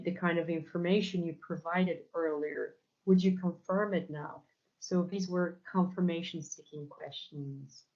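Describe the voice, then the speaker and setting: A woman's voice: low-pitched, darkish tone, highs cut off. A woman with a Polish accent, whose first language is Polish, giving an academic lecture with many fillers and restarts. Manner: hesitant, many fillers and repeated words. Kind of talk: academic conference talk.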